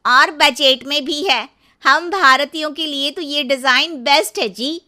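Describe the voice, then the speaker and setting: A woman voicing an eager, determined young city-bred daughter-in-law in a Hindi cartoon story, expressive and earnest. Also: high-pitched, measured pace, voice pushed up, full character voice. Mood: pleased.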